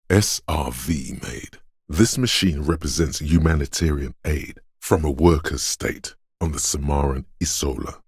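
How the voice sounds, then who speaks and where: A man voicing an encyclopedic inner voice in a video game, learned and solemn, narrating in a measured, erudite way. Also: extremely low pitch, darkish tone, breathy edge, no echo, clean studio sound, heavily acted. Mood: dry, informative. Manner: measured, erudite, declarative delivery.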